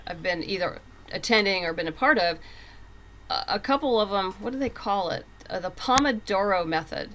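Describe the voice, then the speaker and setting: A woman's voice: on the low side, sharp tone. A woman talking casually as an interview guest, explaining things in a rambling way with self-interruptions. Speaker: podcast guest, comics writer.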